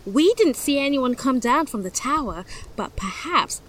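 A woman's voice: high-pitched, expressive, a little slow, rough recording. A woman playing a naive, wealthy young noblewoman, polite and earnest, in a fantasy audio drama. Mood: curious, earnest. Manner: polite, genteel, exclamatory.

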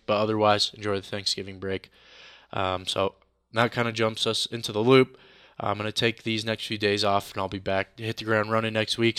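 A man hosting a sports podcast, wrapping up the episode in a steady, informal way. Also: on the low side, smooth, no room sound, studio quality. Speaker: podcast host. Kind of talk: solo show wrap-up.

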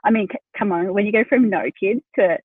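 A woman's voice: mid-range voice, quick pace, breathy edge, no echo, narrow, tinny audio. A pregnant Australian woman in her thirties, a wedding photographer, chatting as a podcast guest with lots of fillers and restarts. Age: 30s. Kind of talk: casual interview chat.

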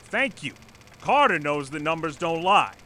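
An actor in an audio drama playing an earnest, stubborn former manager who lectures formally and pleads insistently. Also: male voice, a little high, very animated, a little slow, sharp tone, slight background noise, heavily acted. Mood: pleading, earnest. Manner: formal, insistent, speech-making. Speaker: presenter at a company meeting. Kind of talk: audio drama acting, satirical dystopian comedy.

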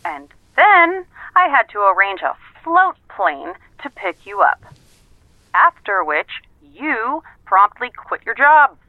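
A woman's voice: a little high, very animated, slow pace, no echo. Tinny phone voice, sardonic woman. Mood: exasperated, sardonic. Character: sardonic, efficient female assistant to a detective. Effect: telephone filter. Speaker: actor in an audio drama. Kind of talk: naturalistic audio drama dialogue. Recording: tinny, narrow phone line.

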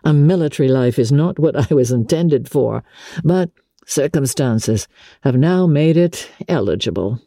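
A woman voicing a wronged, genteel young gentleman officer in a dramatic storybook reading, measured, plaintive and confiding. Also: very deep voice, no echo, studio quality, exaggerated voice. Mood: genteel, self-pitying.

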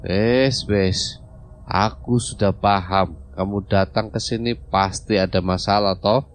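A man's voice: lowish voice, steady tone, slow. A man voicing a stiff, curt older mystic doctor in an audio drama. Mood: calming, knowing. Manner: curt, Javanese-peppered speech.

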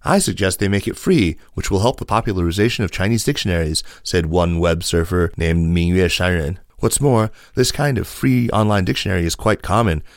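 A man reading scripted business news stories as a podcast host, in a measured, formal way with added emphasis on quotes. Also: low voice, no echo, clean studio sound.

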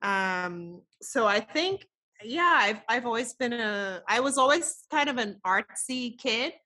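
A woman in her thirties talks as a podcast guest, her voice a little high and ringing bright. She chats easily, laughs a lot and leans on casual fillers. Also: lively intonation, takes it slowly. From the Bahamas. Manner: chatty, laughs often, lots of filler words. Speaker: guest artist.

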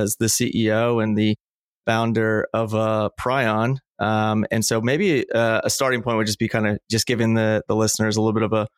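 A man's voice: fairly low pitch, clean tone, dry recording, studio quality. A male podcast host asking interview questions in a hesitant way, with lots of fillers. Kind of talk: conversational interview.

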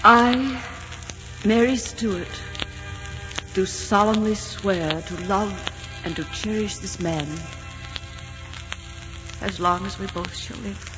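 A dull vintage radio recording with clear music carries an actress playing a queen in love, at a middling pitch. She is proud, devoted and sorrowful, speaking in the earnest, formal, theatrical manner of 1940s drama. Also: takes it slowly, pauses often, natural voice. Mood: solemn.

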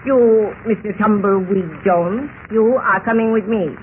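An actor playing a menacing, imperious Egyptian villain who speaks formally and precisely in a 1940s radio style. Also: male voice, high voice, a little slow. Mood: menacing, impatient. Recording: muffled, slightly noisy old radio recording.

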